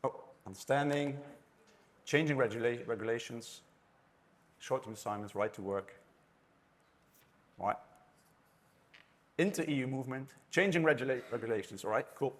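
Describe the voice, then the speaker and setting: Dutch guy running a panel at a conference, mid pitch, chatty, keeps restarting bits of sentences. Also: normal speed, pauses often. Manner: chatty, restarts phrases often. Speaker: panel moderator. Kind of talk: moderating a live conference panel. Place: conference room with an audience.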